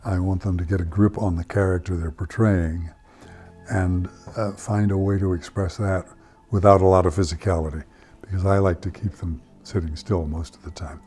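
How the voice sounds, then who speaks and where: Older man, very deep, mellow voice. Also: level tone, normal speed, faint music behind. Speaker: theatre founder and director. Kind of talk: reflective documentary interview.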